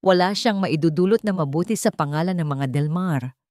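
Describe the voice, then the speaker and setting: A woman's voice: on the low side, a little fast, dark tone, no room sound, clean studio sound, close to an everyday voice. Woman voicing a stern, domineering old patriarch. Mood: defiant, scornful. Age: older. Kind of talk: dramatic Tagalog audio story.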